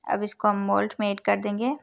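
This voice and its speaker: A woman with an Urdu-influenced accent narrating a recipe step by step as a cooking channel host, calm and instructive as she counts out each measure. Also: mid-range voice, brisk, creaky. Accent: Urdu-influenced Hindustani. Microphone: voice-over over overhead cooking shots.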